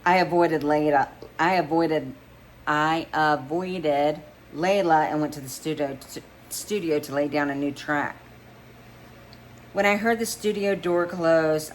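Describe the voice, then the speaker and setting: A woman's voice: low-pitched, measured pace, a little hiss or hum, rough recording. A woman narrating a first-person story as an amateur reader, stumbling now and then and rereading phrases.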